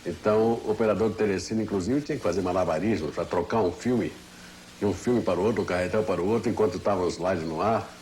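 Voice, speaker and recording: A man's voice: sits low, fast, darkish tone. An older Brazilian man, a veteran engineer, giving a detailed, didactic technical explanation in an interview. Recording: faint noise, old TV broadcast.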